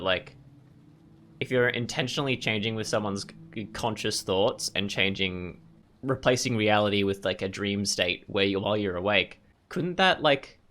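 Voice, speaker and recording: Guy doing a chill lets play, lowish but bright voice, kinda hesitant, thinking out loud. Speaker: gaming video host. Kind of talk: casual let's play commentary.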